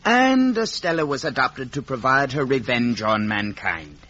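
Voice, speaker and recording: An actor playing a genial, well-bred young Victorian gentleman in a 1950s radio drama, polite and a little hesitant, on a muffled old recording. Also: mid-range voice, very animated, unhurried. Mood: gently amused. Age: young adult. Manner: polite, hesitant, good-humoured.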